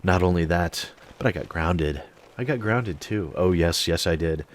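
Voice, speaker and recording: A man in his late thirties, an animated storyteller who swears freely, telling a personal story straight to the mic. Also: deep voice, moderate pace. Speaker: channel host. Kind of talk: storytime monologue.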